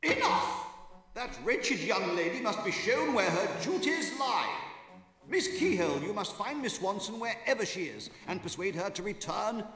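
An elderly British college head, pompous and theatrical. His highish voice swoops dramatically in a reverberant hall, in cartoon style. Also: expressive, a little slow, fairly bright tone. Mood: indignant. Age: older. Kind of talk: cartoon acting. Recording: echoing lecture hall, faint music.

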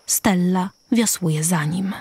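A woman narrating a radio drama deliberately, pausing between short phrases. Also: fairly low pitch, dark tone, breathy edge, no room sound.

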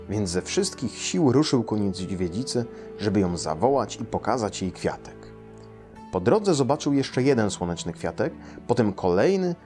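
Lower-voiced man, children's storyteller. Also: a little mellow. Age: 30s to 40s. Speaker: storyteller and translator reading his translation. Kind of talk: children's story read aloud. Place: small quiet room indoors, seated in an armchair.

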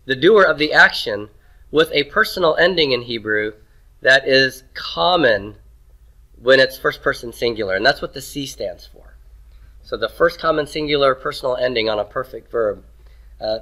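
A man's voice: medium pitch, sharp tone, low-quality recording. A man teaching a Hebrew grammar class in a classroom, explaining patiently in a conversational way. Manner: explanatory, conversational, occasional throat clearing. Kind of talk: classroom lecture. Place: classroom in Texas.

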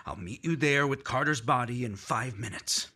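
A man playing a panicky criminal in an old-time radio drama, speaking in a terse, urgent, raspy way. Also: medium pitch, moderate pace, sharp tone. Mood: urgent, tense. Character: panicky, hard-pressed male criminal hiding a body. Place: indoors at home, video call setup.

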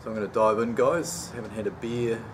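A man in his forties hosting a casual homebrew beer review vlog from a sunny backyard patio, chatty and relaxed with many pauses. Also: fairly low pitch. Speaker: homebrew channel host. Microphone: camera mic at close range, later handheld while walking. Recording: outdoor background noise.

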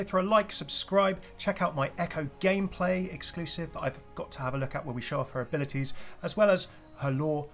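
Fast-talking casual man over music. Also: medium pitch. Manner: casual, chatty. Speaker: video creator and host. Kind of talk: YouTube video outro voice-over.

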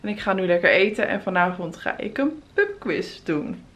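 A young woman in her twenties vlogs from arm's length, upbeat and chatty in an easy mid-range voice. The camera audio is noisy, with a steady wash of background sound under her words. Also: medium pitch, normal speed, muffled recording. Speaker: travel vlogger. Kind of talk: casual travel vlog. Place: outdoors, hotel rooms and a spa on holiday. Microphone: handheld camera at arm's length.

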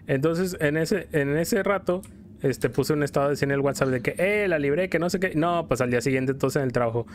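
A man's voice: a little high, a little fast, a little hiss or hum. A Mexican man streaming a game, rambling casually and playfully in slang as he tells stories and comments on play. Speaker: gaming streamer. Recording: music behind the voice. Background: video game music.

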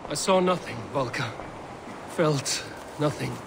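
An actor playing an earnest, stubborn Viking warrior in a video game. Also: male voice, fairly high pitch, slow, creaky, background noise. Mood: dazed. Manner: earnest, defiant. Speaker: game protagonist.

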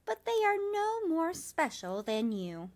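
Woman as elderly female storybook spider, unhurried, kindly, self-assured, gentle, measured. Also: very high-pitched, big pitch swings, voice pushed up, heavily acted. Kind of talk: storybook character reading.

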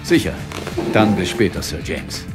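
An actor playing an earnest, steady police inspector in a German audio drama, serious and restrained. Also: male voice, low voice, unhurried, loud music behind the voice.

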